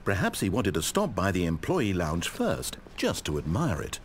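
Theatrical, mocking game narrator. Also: male voice, low voice, huge pitch swings, normal speed, a little hiss or hum, exaggerated voice. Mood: wry, storybook calm. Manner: theatrical, mocking, condescending, articulate. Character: omniscient sardonic male narrator toying cruelly with his character. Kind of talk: scripted storybook-like video game narration.

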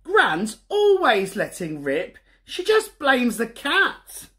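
A woman's voice: a little high, wildly swooping pitch, takes it slowly, sharp tone, slight background noise, pitched above the performer's own voice, heavily acted. A woman reading aloud, voicing a cheeky little boy who is proud of his smells. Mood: cheeky, boastful.

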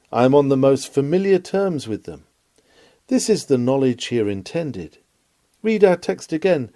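A man's voice: medium pitch, mellow, no echo. A man reads a scripted sermon aloud in an earnest, emphatic, rhetorical manner. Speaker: sermon reader.

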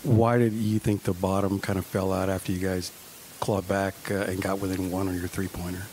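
A man's voice: sits low, flat delivery, brisk, darkish tone, a little hiss or hum, poor audio. A man asking questions as a reporter at a press conference.

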